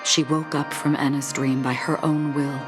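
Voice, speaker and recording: Woman acting solemn female game warrior, low voice, formal, composed, deliberate, echoing in a hall. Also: little pitch movement, lightly acted. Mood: grave, resolute. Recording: long reverb, music behind. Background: game music.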